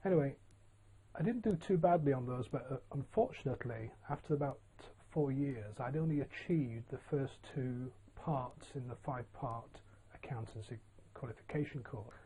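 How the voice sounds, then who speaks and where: An older man from Yorkshire telling his own career story in a relaxed, plain-spoken way, with frequent ums and uhs. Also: medium pitch, moderate pace, rough recording. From Yorkshire, England. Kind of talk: casual autobiographical monologue.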